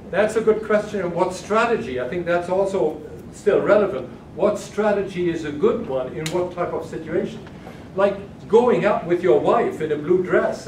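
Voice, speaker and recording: An older man from Germany giving a keynote lecture to a conference audience, speaking hesitantly with many restarts and fillers. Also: sits high. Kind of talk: conference lecture answering questions. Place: large carpeted conference room. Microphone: far room camera. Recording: echoey hall from far off, noisy.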